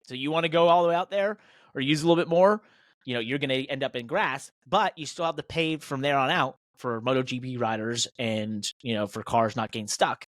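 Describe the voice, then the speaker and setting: A man's voice: mid-range voice, brisk, no echo, studio quality. An American man chatting casually as a motorsport podcast co-host, speaking in an emphatic, opinionated way. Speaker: podcast co-host and professional racing driver.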